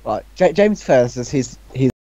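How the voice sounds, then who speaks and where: A young man with a soft-edged, animated voice banters with friends. He is blustery and interjects often, over a dull voice-chat recording. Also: medium pitch, normal speed, dry recording. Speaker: amateur author reacting to his story. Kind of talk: casual group banter.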